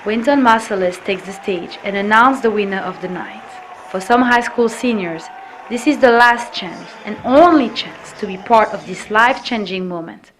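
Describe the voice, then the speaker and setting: A woman's voice: mid-range voice, normal speed, noisy background. A woman reporter reading scripted documentary narration.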